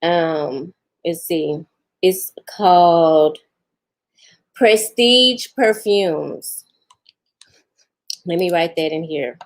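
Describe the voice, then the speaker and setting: A woman's voice: on the low side, drawn-out words, long pauses. A woman, chatting casually with viewers on a live stream, laughing often and talking back to them. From Houston, Texas. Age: 40s. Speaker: vlogger answering live chat.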